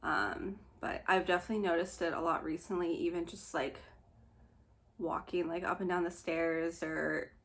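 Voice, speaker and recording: A woman's voice: on the low side, steady tone, moderate pace, gravelly, a bit bright. A young woman, slightly nasal and short of breath, chatting casually in a vlog, laughing often between filler words. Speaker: vlogger. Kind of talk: casual pregnancy update vlog.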